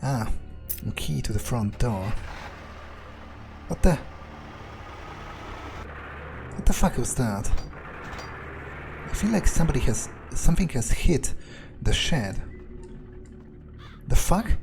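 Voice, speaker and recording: A cynical, jittery vampire played by a man talks to himself through a video game, with music clearly playing behind him. He takes his time, muttering and hesitating, and swears freely. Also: mid-range voice, very animated, slow, pauses often, heavily acted. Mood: startled, nervous. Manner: muttering, hesitant, foul-mouthed. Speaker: gamer playing in character. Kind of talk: in-character video game playthrough monologue.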